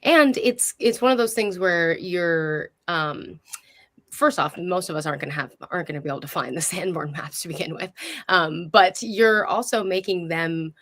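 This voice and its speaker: A woman's voice: fairly low pitch, moderate pace, a bit bright. A woman hosting a conversational interview, speaking in an appreciative, encouraging way. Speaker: host.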